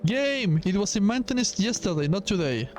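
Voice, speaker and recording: A man giving casual, halting game commentary and reading dialogue aloud, with a bored, dismissive manner. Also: high voice, sing-song. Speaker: gaming video host. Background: music behind the voice.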